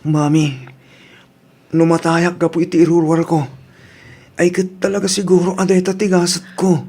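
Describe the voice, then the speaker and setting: A man's voice: fairly high pitch, little pitch movement, moderate pace, a little mellow. A man acting in an Ilocano radio drama as an earnest, emotional family man, with an Ilocano accent. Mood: defiant. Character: earnest family man, by turns pleading son and father.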